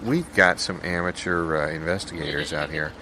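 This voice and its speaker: A folksy small-town official played by a man speaks in a very low voice, halting and stammering politely. It is improvised audio comedy with clear noise in the background. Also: pushed and bright. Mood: hesitant. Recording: clear background noise, informal non-studio room.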